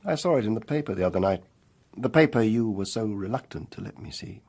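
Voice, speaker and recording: An actor in a radio drama playing a worldly older confidant, shrewd and philosophical, with a dryly ironic, probing manner. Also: male voice, fairly low pitch, lively intonation, fast, vocal fry, darkish tone.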